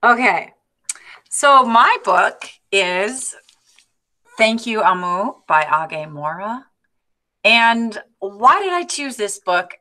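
A woman in her forties casually presenting a book on a video call, halting a little as she thinks aloud. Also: mid-range voice, very animated, sharp tone. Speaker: participant in a read-aloud discussion group. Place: indoor room, on a video call. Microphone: computer on a video call, close to the screen.

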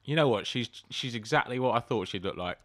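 A man's voice: mid-range voice, expressive, a little fast, fairly bright tone. A man with a British accent in casual comedic chat as a podcast co-host, blunt, sweary and often incredulous.

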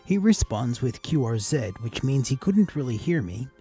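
A man giving a casual, measured instructional voice-over as an amateur radio operator hosting a tutorial. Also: mid-range voice, sing-song, mellow, slightly rough, faint music behind.

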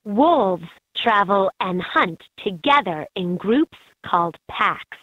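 Lively, unhurried woman narrating a kids' game. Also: medium pitch, no room sound. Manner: clear, expressive, instructive delivery for children. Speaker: narrator of a children's learning game. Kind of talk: scripted educational game narration. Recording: slightly dull, lo-fi game audio.

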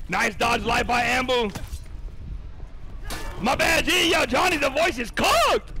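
A young man commentating a live game tournament and chatting with viewers, excitable and profane, losing his voice. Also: very high voice, slow pace. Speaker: streamer casting a tournament.

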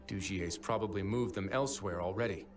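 Casting: man acting authoritative game warden, low register, measured and formal, faint music behind. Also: lightly acted. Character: composed authoritative prison warden, measured and stern. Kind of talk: English video game dub.

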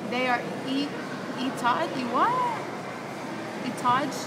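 A woman's voice: high voice, slow. A young woman teacher chatting playfully with a friend, giggling and bantering, filmed on a phone at a food court. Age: young adult. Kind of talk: casual chat. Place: busy indoor mall food court. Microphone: phone camera held close. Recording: loud food court noise.

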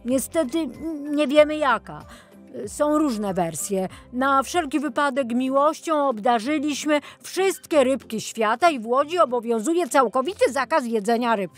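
A friendly old male city guide, voiced by a woman from a children's audio story. He explains proudly and with animation. Soft music runs underneath. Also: high voice, animated, a little slow, pitched above the performer's own voice. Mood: proud, explaining. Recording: music behind the voice.

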